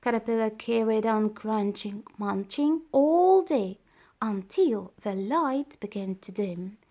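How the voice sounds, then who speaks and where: A woman reading a children's story aloud as storyteller, with deliberate, dramatised phrasing. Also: a little high, lively intonation, mellow.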